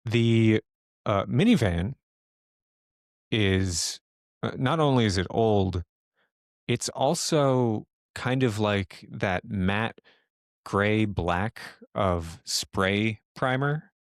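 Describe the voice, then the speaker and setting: Man, game master, unhurried pace, lowish pitch, halting casual narration, dry close sound. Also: expressive, dry recording. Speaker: game master of a tabletop role-playing game.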